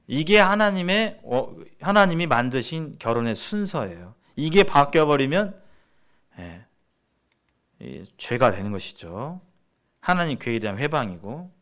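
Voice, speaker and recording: A middle-aged man from South Korea teaching in a sermon-like seminar style, explaining haltingly and restating his phrases as he goes. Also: on the high side, sing-song, a bit bright. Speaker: church seminar preacher.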